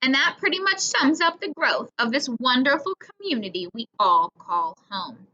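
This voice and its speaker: A woman reading a children's story aloud in an expressive, deliberate manner, acting out the dialogue. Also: high voice. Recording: dull lo-fi recording.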